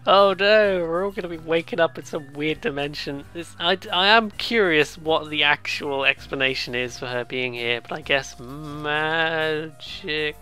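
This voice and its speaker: A let's player giving casual, rambling game commentary with excitable, jokey asides and some reading of on-screen text. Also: medium pitch, measured pace, few pauses, faint music behind.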